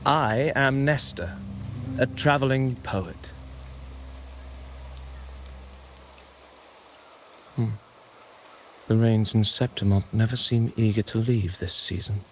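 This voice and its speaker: An actor playing a courtly, cryptic traveling poet in a fantasy game, speaking in an eloquent, measured, theatrical manner. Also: male voice, medium pitch, fairly bright tone, a little hiss or hum, natural voice. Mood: courteous. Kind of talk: dramatic fantasy game voice acting.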